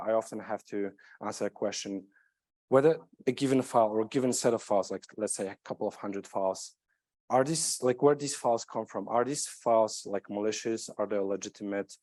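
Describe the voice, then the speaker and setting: A man's voice: fairly low pitch, darkish tone. A man from Poland, not a native English speaker, giving a technical conference talk with many fillers and repeated words. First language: Polish.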